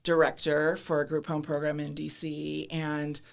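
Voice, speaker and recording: A woman's voice: sits low, monotone, normal speed, creaky. A middle-aged woman with an American accent, answering interview questions in a candid, reflective way with light self-deprecating humor. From Midwestern United States (Minnesota, Iowa). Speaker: podcast guest, government commissioner. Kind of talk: conversational interview.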